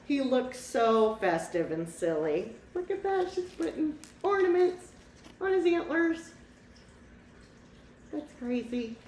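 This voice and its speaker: A woman reading a picture book aloud to young children, pausing to explain things simply and ask them questions. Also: sits high, sing-song, darkish tone. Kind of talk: storybook read-aloud with asides to young children. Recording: echoey room, distant mic, faint noise.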